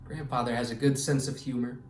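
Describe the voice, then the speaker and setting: A man reading a children's story aloud expressively and pausing to explain things to young listeners. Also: mid-range voice, steady tone, a little hiss or hum. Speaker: children's storytime reader and educator. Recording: echoey room, distant microphone.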